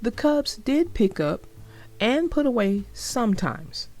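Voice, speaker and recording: A woman's voice: fairly high pitch, sing-song, slow, a little mellow, faint music behind. A woman narrating a children's storybook as a read-aloud for young listeners.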